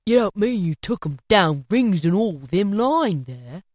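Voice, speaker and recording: A woman's voice: mid-range voice, big pitch swings, a little slow, darkish tone, no room sound, clean studio sound. Woman putting on a wry old rag-dealing man. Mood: nosy, incredulous. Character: shady old male rag dealer, wry and nosy. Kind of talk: storybook character acting.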